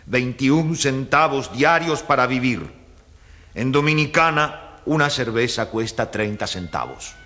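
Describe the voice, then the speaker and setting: Professor: didactic, oratorical, mid-pitched, bright forceful delivery for radio drama. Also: male voice, animated, measured pace. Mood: grave, emphatic. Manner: didactic, rhetorical questions. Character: earnest, emphatic male professor arguing for landless peasants.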